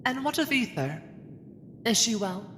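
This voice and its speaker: A woman's voice: a little high, sing-song, a little slow. A woman playing a loyal, candid retainer in an audio drama, formal and dryly teasing. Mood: composed, teasing. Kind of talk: fan audio drama acting. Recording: reverberant hall, faint noise.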